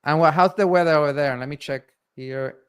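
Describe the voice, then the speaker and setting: A man from Puerto Rico hosting a casual tech live stream, talking in halting bursts with lots of fillers and restarts. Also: fairly high pitch, clean tone. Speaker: community host and guest presenter.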